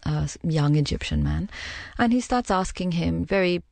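Low, gravelly-voiced woman recounting calmly. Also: no room sound. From Middle East. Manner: reflective and measured, pausing to find words. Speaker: interviewee. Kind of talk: personal account in an interview.

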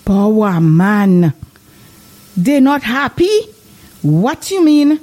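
A woman playing a devout, gullible, excitable older village woman with a Dominican Caribbean accent, gushing and exclamatory, in a drama. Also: mid-range voice, extremely slow, exaggerated voice. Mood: disbelieving. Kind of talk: dramatised dialogue in Caribbean creole English.

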